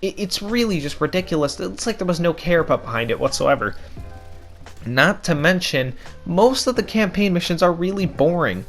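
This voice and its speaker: A young man with a scratchy, hoarse throat giving casual gaming commentary, ranting with frustration and repeating himself. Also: high-pitched, expressive, normal speed, fairly bright tone. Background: background music.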